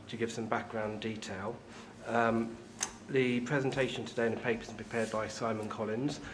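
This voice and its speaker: A man at a board meeting giving background and introducing a colleague in a measured, explanatory way. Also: fairly low pitch. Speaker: board member introducing a speaker. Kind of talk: formal meeting talk. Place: meeting room. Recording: noisy meeting room.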